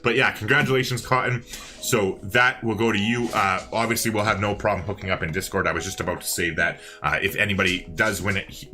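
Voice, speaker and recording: A man with a very low yet bright, forward voice co-casts a game livestream. He talks casually to his chat, thinking out loud as he goes, with music playing behind him. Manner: chatty, thinking aloud to viewers and chat. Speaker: co-host of a livestreamed multiplayer strategy game. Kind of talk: casual live stream co-casting.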